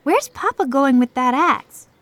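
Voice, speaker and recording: A woman's voice: high voice, expressive, a little slow, a bit bright, no echo. A woman playing an impassioned, pleading eight-year-old farm girl in a full-cast audiobook. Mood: curious.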